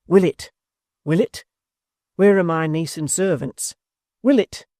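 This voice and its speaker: A woman voicing a strong, stern gentleman, urgent and commanding as he calls out. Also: low-pitched, a little slow, no echo, studio quality.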